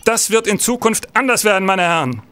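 Man acting a high-pitched stern police senator. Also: lively intonation, fairly quick, sharp tone. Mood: angry, commanding. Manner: grave, rhetorical, emphatic. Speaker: actor in an audio drama. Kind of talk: German radio play acting.

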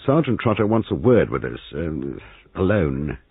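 Guy doing a matter-of-fact friendly husband, audiobook read, lively, sounds like a phone line. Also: deep voice, a little slow, creaky, husky, no echo, heavily acted. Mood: polite.

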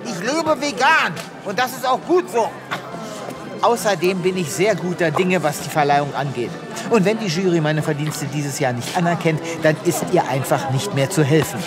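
Guy doing stuck-up fussy vet in a funny audio play, highish voice, music behind. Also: moderate pace, lightly acted. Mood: indignant. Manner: pompous, self-righteous. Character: fussy, vain vegan male vet, easily affronted. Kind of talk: comic audio drama acting.

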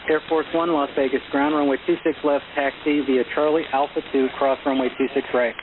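A man works as a ground controller, rattling off clipped instructions at a brisk pace. His voice comes through a narrow, noisy aviation radio channel with clicks. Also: mid-range voice, flat delivery. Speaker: air traffic ground controller.

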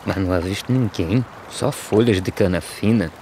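A man playing a poor, weary migrant farm labourer, speaking measured verse in an animated film. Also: low-pitched, slight background noise, lightly acted. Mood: hopeful wonder. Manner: measured, declaimed rhyming delivery. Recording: music behind the voice.

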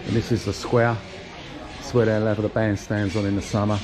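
A man giving a relaxed, chatty walking tour of an outdoor shopping plaza, talking while he walks with his camera. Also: lowish voice, brisk, a crowd in the background. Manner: relaxed, chatty, pointing things out. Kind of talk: casual walking tour narration. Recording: noisy outdoor street recording.

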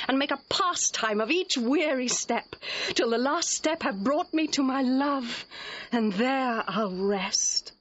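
Young noblewoman in Shakespearean radio play: headstrong, romantic, lyrical, breathy-husky tone, slightly dull recording. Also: on the high side, fairly bright tone, heavily acted. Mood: ardent, dreamy. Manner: ardent, lyrical verse delivery. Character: lovestruck, headstrong young noblewoman, eloquent and playful. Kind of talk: classical Shakespearean audio drama.

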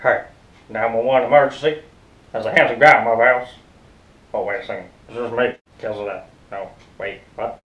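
A man's voice: medium pitch, fairly even intonation, normal speed, fairly bright tone, muffled recording. A young man vlogging casually from a small kitchen, giggly and self-mocking. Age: young adult. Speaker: comedy vlogger. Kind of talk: casual vlog. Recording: echoey kitchen.

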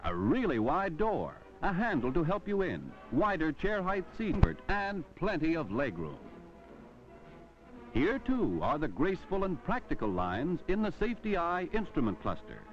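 An American man reading a 1950s car advertisement as a polished sales pitch. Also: huge pitch swings, normal speed. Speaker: commercial announcer. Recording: dull vintage film soundtrack, music behind.

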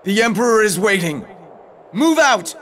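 A proud young army captain in a game drama. His delivery is formal and commanding, fairly high for a man, with very wide swings in pitch. Faint noise sits behind the voice. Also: sing-song, moderate pace, a bit bright. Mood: stern, commanding. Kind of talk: dramatic video game acting.

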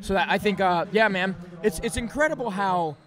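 A hoarse young man hosting a casual podcast, excitable and slangy in his talk. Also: sits high, moderate pace. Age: young adult. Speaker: podcast host. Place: indoor event venue, at a table. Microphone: podcast microphone on boom arm. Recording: busy crowd behind the voice.

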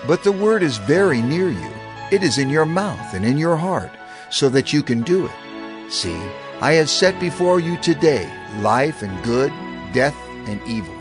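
A man's voice: on the high side, sing-song, moderate pace, music in the background. An actor playing an elderly male prophet and leader exhorting his people in a scripture audio drama, earnest and oratorical. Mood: earnest, urgent.

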